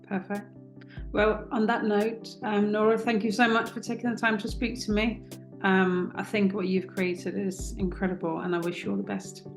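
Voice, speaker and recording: A woman's voice: mid-range voice, level tone, normal speed, soft background music. Woman podcast host in an echoey room. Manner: hesitant questioning with fillers. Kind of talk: interview questions and scripted outro.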